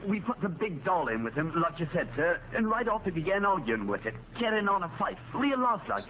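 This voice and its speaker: An actor playing an excitable, deferential jail officer in a 1940s radio drama. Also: male voice, high-pitched, fairly quick. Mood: agitated. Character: excitable, working-class male British jail officer. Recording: tinny old radio recording.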